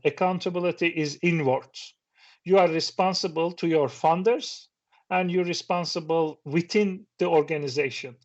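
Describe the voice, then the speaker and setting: A middle-aged man whose first language is Turkish, giving a reflective conference talk that poses questions to the audience, with occasional restarts. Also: a little high. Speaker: conference speaker. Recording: dull lo-fi sound.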